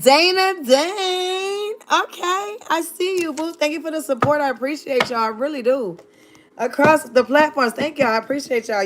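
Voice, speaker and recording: A woman's voice: high voice, noisy background. A woman in her thirties from Virginia, chatting sassily and playfully with viewers on a live stream. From Virginia, United States. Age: 30s. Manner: sassy, playful, talks straight to her chat. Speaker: livestreamer.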